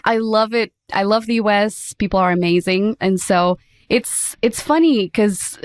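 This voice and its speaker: A woman's voice: medium pitch, unhurried, clear, resonant tone, no echo. Chatty woman, dry sound. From Portugal. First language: Portuguese. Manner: chatty and upbeat, many "like" fillers. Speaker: podcast guest. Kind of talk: casual interview chat.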